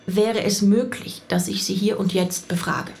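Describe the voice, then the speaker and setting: Measured, matter-of-fact female investigator, played by an actress. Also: mid-range voice, steady tone, darkish tone, slight background noise, close to an everyday voice. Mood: businesslike, polite. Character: calm, probing female investigator questioning a witness. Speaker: actor. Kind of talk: naturalistic radio drama dialogue.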